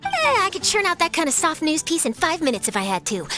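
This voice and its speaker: A bubbly young reporter in a game cutscene, eager and scheming. Her voice is very high and swoops freely, with light music underneath. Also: wildly swooping pitch, fast talker, exaggerated voice. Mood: breezy. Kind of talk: video game cutscene acting.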